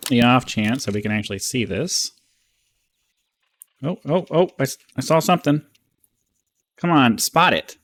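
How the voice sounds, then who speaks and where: A man's voice: mid-range voice, lively intonation, moderate pace, long pauses, fairly bright tone. Offhand man commentating casually on a game. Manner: rambling, offhand, informal. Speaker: gaming video host.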